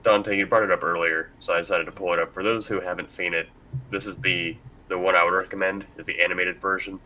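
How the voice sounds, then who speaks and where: A man's voice: low-pitched, little pitch movement, quick pace, pushed and bright, rough recording. A man hosting a casual panel discussion, talking informally before a live room. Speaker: panel host.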